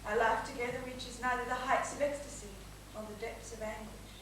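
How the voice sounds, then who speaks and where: A woman's voice: on the high side, steady tone, fairly quick, close to an everyday voice. Woman acting an ardent, anguished wife, echoey stage. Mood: solemn, yearning. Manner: melodramatic, breathless pleading, theatrical. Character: married woman, ardent, anguished, torn by secret passion. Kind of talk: stage period comedy acting. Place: theatre stage, recorded from the audience. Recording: echoey theatre stage, distant mic, faint hiss.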